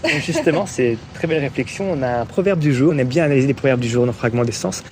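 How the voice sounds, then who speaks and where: A man hosts a street-interview podcast, putting upbeat and friendly questions to strangers. His voice is mid-pitched. Faint outdoor ambience sits behind him. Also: expressive, fast talker, slight background noise. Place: outdoor city park.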